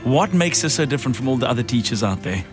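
A man's voice: medium pitch, lively intonation, fast, music in the background. A man hosting a radio show from a studio mic, upbeat as he announces prizes. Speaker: radio host. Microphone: studio microphone with headphones.

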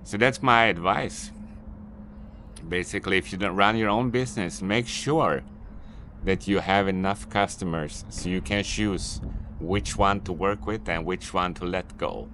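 A Swedish man talks straight to camera in unscripted English, his voice a little low and his advice circling back on itself as he admits to some annoyance. Faint city ambience hums behind him out on the street. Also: a little slow, a bit bright, slight background noise. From Sweden. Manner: rambling, repetitive, mildly annoyed advice-giving. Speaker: vlogger, business owner. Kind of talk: unscripted vlog monologue.

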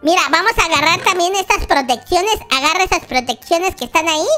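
A performer voicing an excitable, boastful baby boy in a pitched-up voice for a playful children's gaming roleplay. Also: a little fast. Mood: busy, cheerful. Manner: exclaiming, repetitive, childlike. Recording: music behind the voice.

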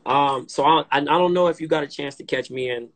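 Relaxed man in his thirties hosting. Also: on the high side, fairly quick, low-quality recording. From Compton, California. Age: 30s. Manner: relaxed, hesitant, repeats words often. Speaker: podcast host. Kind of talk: casual interview chat. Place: dim room indoors, on a live video call. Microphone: phone camera, split-screen live stream.

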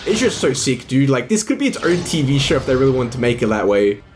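A man's voice: medium pitch, sing-song, quick pace. A young man giving casual, enthusiastic, slangy reaction commentary. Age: young adult. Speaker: reaction video host. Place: indoor room, over game cutscenes. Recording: game audio and music behind.

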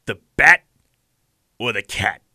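A ruthless mafia boss from a noir radio play, acted by a man. His voice is harsh and raspy, swinging widely in pitch with short-tempered, commanding menace. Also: animated, slow, pushed and bright, dry recording, clean studio sound, heavily acted. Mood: cold menace. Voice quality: gravelly, raspy. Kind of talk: noir radio drama acting.

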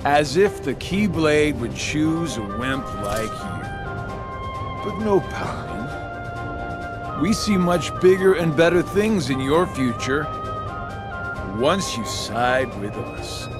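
An actor playing a smug middle-aged villain in a video game, with a mocking, sarcastic drawl. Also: male voice, fairly high pitch, huge pitch swings, takes it slowly, music in the background, full character voice. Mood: scornful. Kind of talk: video game cutscene acting.

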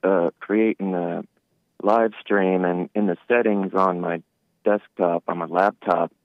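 A man's voice: low-pitched, fairly even intonation, a little slow, dry recording. A man calling in to a show, explaining something in a casual, chatty way. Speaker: phone-in caller. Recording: narrow, tinny phone line.

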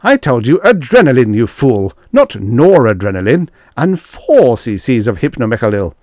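A man's voice: medium pitch, sing-song, moderate pace, voice pushed up, natural voice. A man voicing a hot-tempered older doctor who snaps indignant accusations in a dramatic story reading. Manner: snapping, indignant.

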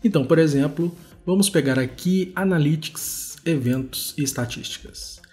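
A man's voice: a little high, normal speed, darkish tone. A man with a Brazilian accent hosting a web development screencast, talking informally and conversationally as he demonstrates. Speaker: host of a web development video show. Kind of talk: casual screencast commentary. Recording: music behind the voice. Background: background music.

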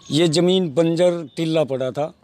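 A man's voice: a little high, measured pace, darkish tone, no room sound. An older man with a western Uttar Pradesh accent giving unscripted interview answers as a local villager. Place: outdoors by a temple wall.

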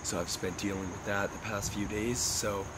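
A young man vlogging casually about his fitness day, hoarse from losing his voice, with a stuffy nose and a laid-back manner full of fillers. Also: lowish voice, level tone, moderate pace, very noisy. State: hoarse, lost voice. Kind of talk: casual vlog narration. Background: music.

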